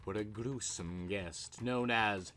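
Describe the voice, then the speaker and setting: A man narrating a horror comic story in a deliberate, melodramatic scripted style. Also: on the low side, a bit bright.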